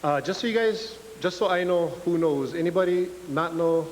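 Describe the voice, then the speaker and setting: A man's voice: on the high side, normal speed. A young man from Hawaii with a Hawaiian Pidgin accent, giving a casual, joking guest talk at a lecture. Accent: Hawaiian Pidgin English. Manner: nervous, joking, self-deprecating. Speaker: guest speaker. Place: lecture hall. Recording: reverberant hall with faint hiss.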